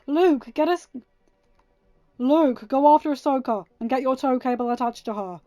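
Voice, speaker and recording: A woman's voice: sits high, normal speed, no echo, pitched above the performer's own voice, natural voice. A woman voicing an urgent, commanding male pilot and father who gives orders, in a fan fiction reading. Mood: firm, instructing. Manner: instructing, shouted orders over battle.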